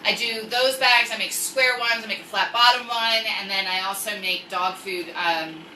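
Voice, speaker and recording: A woman with a mid-pitched, sharply bright voice chats casually as a livestream host. She rambles and interrupts herself as she goes. The sound is roomy and off-mic, with faint noise behind her. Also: moderate pace, recorded from a distance. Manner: rambling, self-interrupting, animated asides. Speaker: online storytime host and craft seller.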